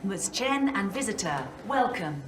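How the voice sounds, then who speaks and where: A woman's voice: mid-range voice, very animated, a little slow, noisy background. A woman voicing a polite facility AI in a video game, making formal announcements to visitors. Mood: polite, official. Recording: echoing, distant, music behind.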